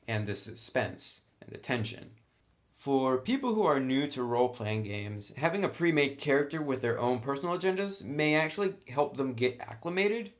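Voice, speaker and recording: A man giving a casual spoken review of a tabletop game, enthusiastic, with lots of "actually" and "really" and quick restarts. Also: medium pitch, fairly bright tone. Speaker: product reviewer.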